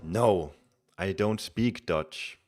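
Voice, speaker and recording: A man's voice: deep voice, drawn-out words, over music. A man from Denmark with a Danish accent, chatting casually with viewers on a live stream and coaching a game player. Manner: relaxed, chatty, restarts words often.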